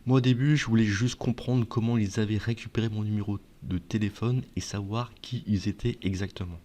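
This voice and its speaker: A man's voice: fairly low pitch, fairly even intonation, a little fast, mellow. A man presenting advice to viewers in a conversational explainer style, often posing questions and answering them himself. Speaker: video presenter giving advice. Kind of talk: explainer talk to viewers, semi-scripted.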